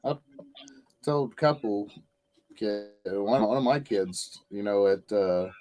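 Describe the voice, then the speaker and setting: A man's voice: lowish voice, slow pace, warm tone, poor audio. An older man hosting a live stream in casual chat, rambling and hesitant, full of fillers. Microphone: video call.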